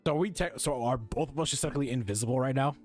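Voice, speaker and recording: A man's voice: medium pitch, racing, a little mellow. Casual, slangy male game streamer. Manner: informal, slangy. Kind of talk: casual live gaming commentary, reading game text aloud. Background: game music.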